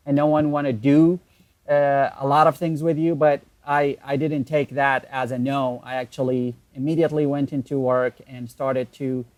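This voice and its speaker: A man's voice: mid-range voice, dry recording. Guest, male, 30s, Iraqi Arabic accent, native Arabic, easygoing storyteller, dry video-call audio. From Iraq. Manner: relaxed, laughs easily, halting with fillers. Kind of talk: casual interview storytelling.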